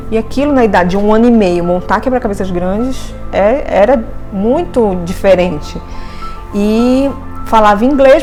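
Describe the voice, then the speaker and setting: A woman's voice: mid-range voice, darkish tone. Earnest woman in her forties. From Brazil. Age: 40s. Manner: earnest, reflective, with many restarts and tag questions. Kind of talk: personal testimony interview.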